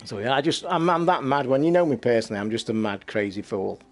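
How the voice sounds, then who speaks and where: A man hosting a casual late-night livestream from his flat, talking in a rambling, blunt way and swearing freely. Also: medium pitch, fast, soft background music. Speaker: livestream host. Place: home flat.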